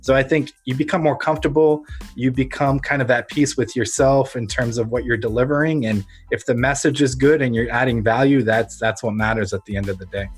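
A man's voice: medium pitch, fairly even intonation, brisk, breathy edge, soft background music. A man with an American accent talking earnestly and reflectively as the host of a conversational podcast interview.

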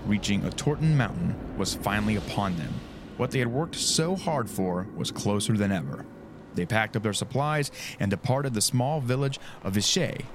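A man's voice: fairly low pitch, slight background noise. A man co-hosting a casual podcast, joking and swearing, then reading a mystery story in a dramatic tone. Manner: jokey, swears, drops into dramatic narration. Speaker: podcast co-host telling the case. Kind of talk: casual chat, reading a scripted story opening.

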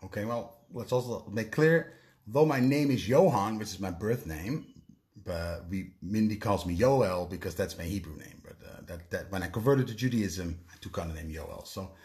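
A man from the Netherlands with a fairly low voice hosts a relaxed discussion podcast. He talks casually and a little haltingly, feeling his way through his sentences. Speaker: podcast host.

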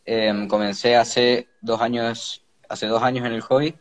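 A sixteen-year-old young man chatting casually and haltingly about his hobby as a guest. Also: medium pitch, level tone. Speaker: guest hobbyist ant keeper. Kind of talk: casual chat.

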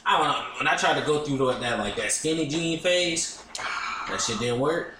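A man's voice: on the high side, lively intonation, moderate pace, echoey room, background noise. A young man co-hosting a podcast, laid-back and dry as he jokes around. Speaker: podcast co-host. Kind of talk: casual chat.

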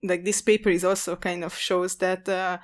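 A woman's voice: lowish voice, flat delivery, normal speed. Chatty woman in an academic discussion. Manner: chatty, many fillers and restarts. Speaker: podcast co-host.

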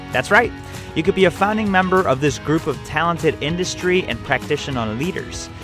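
A man hosting a health podcast, reading a promo and introducing a guest in an upbeat, promotional way. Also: a little high. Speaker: podcast host.

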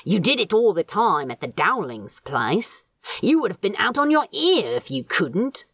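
A woman's voice: medium pitch, sing-song, a little slow, a bit bright, breathy edge, no echo, exaggerated voice. A woman voicing an irritable, sarcastic male demon in an audiobook story, emphatic and scornful. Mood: demanding. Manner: exasperated, emphatic, sardonic.

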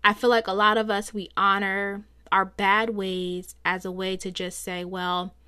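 A woman's voice: medium pitch, flat delivery, measured pace, bright, forceful tone, smooth. A woman hosting a solo podcast, talking through her thoughts in a chatty, reflective way, her voice a bit strained from so much talking. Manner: chatty, reflective, rambling with fillers. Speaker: podcast host. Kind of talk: casual solo podcast monologue.

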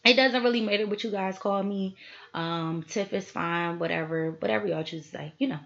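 A woman's voice: mid-range voice, moderate pace, vocal fry, fairly bright tone, highs cut off. A woman, hosting a casual solo podcast, chatty and full of asides to her listeners. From Philadelphia, USA. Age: 20s. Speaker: podcast host.

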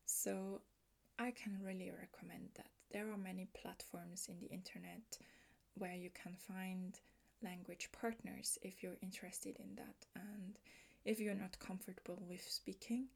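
Slow, gravelly-voiced Swiss woman podcaster. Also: on the low side, fairly even intonation. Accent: Swiss German. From Switzerland. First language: Swiss German. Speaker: podcast host. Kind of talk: casual solo podcast talk.

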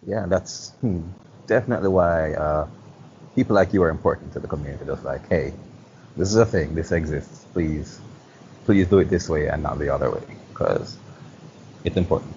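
Brief: thirties man, very low voice, relaxed and wry, dull audio with faint noise. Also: moderate pace. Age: 30s. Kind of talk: casual chat.

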